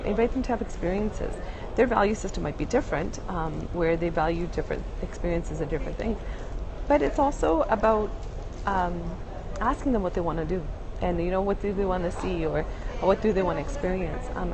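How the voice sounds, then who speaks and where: Woman talking from a noisy airport. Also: fairly low pitch. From Canada. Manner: thoughtful, many fillers and restarts. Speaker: diversity speaker. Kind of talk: casual spoken answer. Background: airport announcements and bustle.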